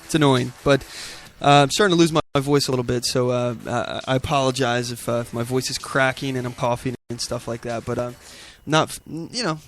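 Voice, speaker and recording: A man's voice: medium pitch, fairly even intonation, brisk, few pauses, a bit bright. A man hosting a political talk show, giving ad-libbed commentary with emphatic rhetorical questions.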